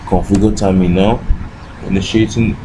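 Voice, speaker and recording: A man's voice: deep voice, steady tone, moderate pace, background noise. A man giving an informal step-by-step tech tutorial as an instructor, often posing short questions to himself and answering them. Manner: asks and answers own questions with repeated "what".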